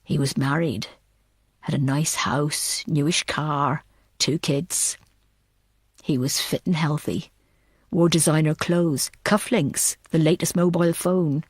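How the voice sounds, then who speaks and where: A woman voicing a middle-aged male ex-prisoner in an audiobook, telling his story in a measured, self-satisfied way. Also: low voice, steady tone, husky, no echo, clean studio sound, pitched below the performer's own voice, full character voice. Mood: calm, reminiscing. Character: middle-aged male ex-prisoner, desperate, smugly reminiscing to interrogators.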